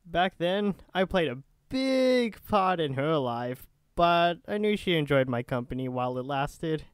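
A man's voice: a little high, animated, a little slow, clean tone, no echo, clean studio sound. A man playing a nosy, easygoing young friend in an ASMR roleplay, casual and teasing.

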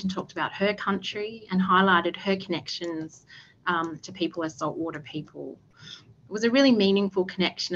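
Aussie woman, 30s, measured panel talk on a video call, slightly low voice, bit muffled. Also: slight background noise. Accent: Australian. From Australia, Western Sydney. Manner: earnest, measured, with occasional fillers. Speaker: panelist, government official. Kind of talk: prepared panel remarks. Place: indoor office. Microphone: video call webcam.

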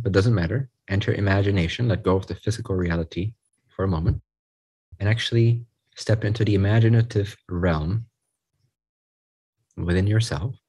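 Male meditation guide, lower register with a slightly breathy rasp, soothing and unhurried, leaving space between short phrases. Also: darkish tone, poor audio.

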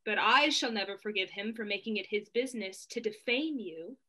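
A woman's voice: medium pitch, brisk, bright, forceful tone. A young woman playing a snarky waiting woman in a Restoration comedy, pert and sarcastic. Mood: scornful, mocking. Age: young adult. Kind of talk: theatrical period stage acting over video call. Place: indoor room at home, on video call.

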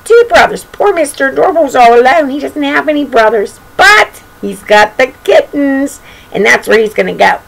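Older female kids' storybook host: playful, singsong, swooping intonation with plenty of gravelly creak, homemade webcam sound. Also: high voice, animated, normal speed, low-quality recording. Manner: singsong, playful, addressing little children. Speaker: children's storybook reader and show host. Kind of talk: read-aloud storytelling with chatty asides to young children. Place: bedroom at home.

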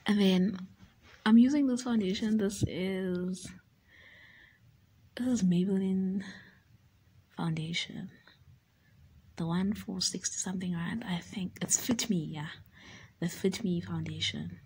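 A young South African woman talking through her makeup routine in a soft, often half-whispered, unhurried way, in a small room. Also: mid-range voice, fairly even intonation, slightly rough, low-quality recording. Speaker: beauty YouTuber. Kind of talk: casual makeup tutorial talk.

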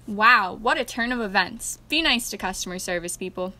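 Woman acting bright, chipper radio host. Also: medium pitch, expressive, normal speed, rough recording. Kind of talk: scripted host banter.

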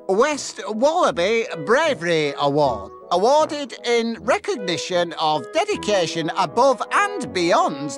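A man voicing a stolid, officious village policeman in a cartoon game, ponderous and formal, with a British accent. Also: sits high. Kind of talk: animated comedy game cutscene acting.

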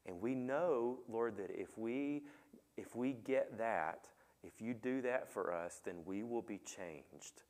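A middle-aged man preaching a sermon as pastor in a church sanctuary on a headset mic, talking with the congregation and asking them questions, and praying quietly. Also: lowish voice, slow. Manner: conversational, asks the congregation questions; soft, quiet when praying. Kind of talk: sermon with opening prayer. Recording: long reverb of a church hall.

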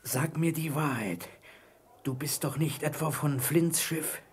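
An actor playing a ragged, half-wild marooned castaway in a radio play, imploring and fearful, with a husky voice. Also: male voice, a little high, unhurried, full character voice. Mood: fearful, urgent.

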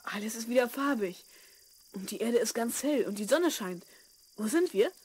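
A woman playing a curious, excitable twelve-year-old boy in a children's audio drama, wide-eyed and eager. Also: on the high side, moderate pace, a little hiss or hum, lightly acted. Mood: amazed. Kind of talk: 1970s German children's audio drama acting.

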